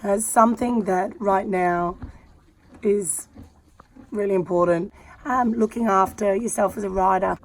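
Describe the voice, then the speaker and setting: A woman's voice: medium pitch, a little slow, long pauses, a little hiss or hum. An Australian woman who has lost most of her voice, talking candidly and from the heart while sitting on the ground out in a horse paddock. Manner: candid and heartfelt, with many fillers. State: hoarse, losing her voice. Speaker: horse rider and vlogger. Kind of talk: casual vlog talk to camera.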